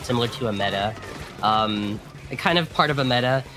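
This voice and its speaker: A man with a raspy voice, hoarse from a cold, doing co-commentary for a video game tournament and hesitating often with fillers and restarts. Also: medium pitch, expressive, a little fast, noisy background. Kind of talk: esports commentary.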